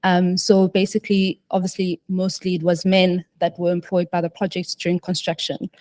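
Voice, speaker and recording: Panelist, female, low flat delivery, halting with lots of fillers. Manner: hesitant, many fillers and restarts. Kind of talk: panel discussion answer.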